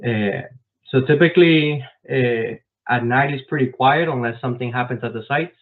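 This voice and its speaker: A man raised in Miami answering interview questions about his work as a software developer, explaining step by step with frequent "um" fillers, over a video call. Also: medium pitch, normal speed. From grew up in Miami, Florida. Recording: tinny phone-like online call.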